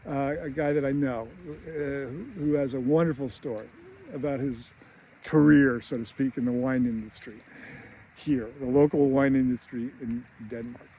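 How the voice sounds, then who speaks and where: A man's voice: mid-range voice, fairly even intonation, moderate pace, warm tone, husky, poor audio. Chatty middle-aged Boston man outdoors. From United States. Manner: chatty and rambling, with laughs and restarts. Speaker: screenwriter and teacher. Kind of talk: casual vlog to camera. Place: outdoors near a beach.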